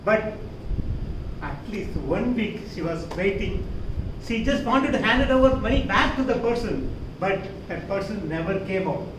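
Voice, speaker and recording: A man with an Indian accent giving a theatrical storytelling speech, emphatic and dramatic, with deliberate pauses. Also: animated. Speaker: contest speaker. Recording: roomy echo, distant mic, clear rumbling noise.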